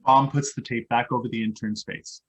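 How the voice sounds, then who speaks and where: Very low-voiced woman reading stage directions. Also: steady tone, normal speed, rough recording. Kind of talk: scripted narration.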